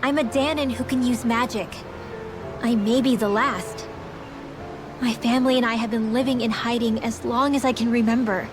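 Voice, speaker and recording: A woman's voice: a little high, steady tone, normal speed, close to an everyday voice. An actress playing an earnest, loyal young mage girl in a video game cutscene. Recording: music behind the voice.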